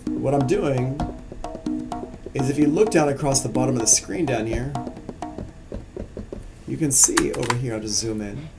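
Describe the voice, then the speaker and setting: A man teaching music production in a casual, relaxed live-streamed software tutorial, explaining as he works on screen. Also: medium pitch, unhurried, long pauses.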